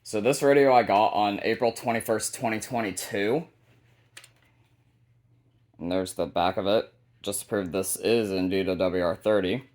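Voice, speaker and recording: Guy with a lowish voice doing a casual collection showcase for his hobby video. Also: moderate pace, pauses often, a little mellow.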